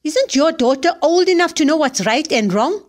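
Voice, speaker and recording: A firm, calm middle-aged mother laying down the law. Her voice is high and moves a lot, stern but steady. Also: normal speed. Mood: reproachful. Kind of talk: audio drama acting.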